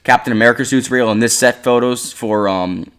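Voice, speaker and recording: A man's voice: mid-range voice, fairly quick, fairly bright tone, smooth. A man hosting a casual chat, rambling with many fillers and restarts. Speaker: host.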